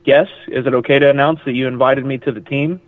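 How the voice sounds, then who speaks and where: A man's voice: mid-range voice, steady tone, quick pace. A man appearing as a podcast guest, chatting casually with a self-deprecating, joking manner. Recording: dry, tinny phone line.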